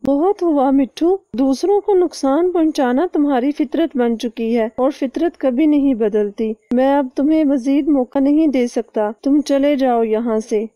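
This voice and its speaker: A woman's voice: sits high, moderate pace, few pauses, dark tone, no room sound, lightly acted. A woman voicing a stern male bird leader in a cartoon, with an admonishing manner. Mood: stern, fed up.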